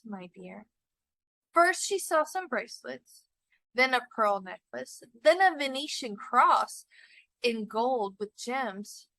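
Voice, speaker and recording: Female story narrator, expressive and deliberate, bright high voice, unhurried delivery for students. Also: no echo, rough recording. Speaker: narrator reading a short story.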